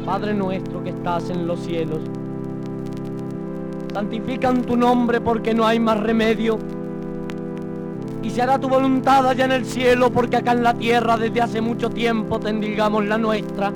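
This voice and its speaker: A man's voice: very high voice, fairly even intonation, normal speed, rough recording. A man reciting poetry dramatically on an archive record, with guitar music behind him. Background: loud guitar music.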